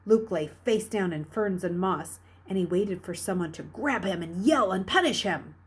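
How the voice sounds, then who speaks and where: Slightly husky woman reading a story aloud. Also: medium pitch, moderate pace. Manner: dramatic, expressive, with hushed dips and emphatic rises. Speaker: reader reading a children's novel aloud. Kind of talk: read-aloud storytelling narration.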